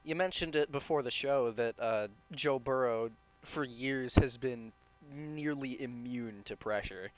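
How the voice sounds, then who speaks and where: A man on a sports podcast panel, chatting casually in an informal, somewhat halting way. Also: medium pitch, poor audio.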